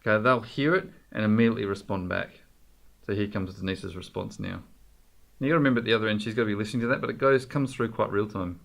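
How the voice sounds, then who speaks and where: A man with a fairly low voice walks through software as a tutorial presenter. His delivery is informal and explanatory, talking straight to the viewer as he goes. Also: quick pace, creaky.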